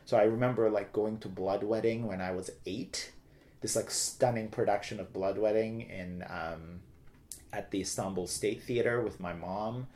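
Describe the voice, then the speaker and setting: Middle-aged male interview guest, lowish pitch, talkative and casual. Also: steady tone, normal speed, darkish tone. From Turkey. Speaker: interview guest, theater director. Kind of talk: casual personal storytelling in an interview.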